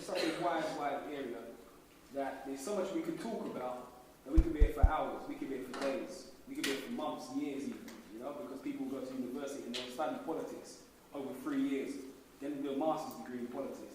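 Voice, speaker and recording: A man's voice: mid-range voice, steady tone, quick pace, recorded from a distance, noisy background. Man talking in an echoey hall. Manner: casual, explanatory, street slang. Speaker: speaker giving a talk to young people. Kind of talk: informal public talk. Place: large hall. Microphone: distant recorder.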